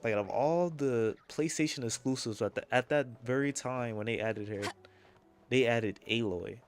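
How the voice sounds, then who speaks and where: A young man chatting casually over his gameplay, rambling and slangy, with strong opinions. His voice sits at an ordinary middle pitch. Faint game music plays underneath him. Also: normal speed. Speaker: gaming streamer.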